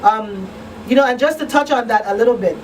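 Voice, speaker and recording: A woman's voice: a little high, fairly quick, a little mellow. A woman hosting a radio talk show in a conversational style. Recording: distant mic, faint room noise.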